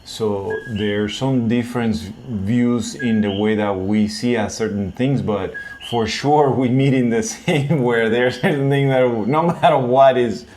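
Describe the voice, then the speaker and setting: Puerto Rican man, halting casual talk. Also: medium pitch, darkish tone, slight background noise. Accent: Puerto Rican Spanish. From Puerto Rico. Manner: halting, searches for words, many restarts. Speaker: vlog co-host. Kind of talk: casual chat.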